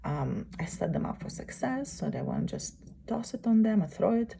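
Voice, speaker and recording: Husky low-voiced woman. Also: big pitch swings, warm tone. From Uzbekistan. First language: Russian. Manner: halting, searching for words, frequent pauses. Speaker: student presenting a course project. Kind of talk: unscripted spoken presentation.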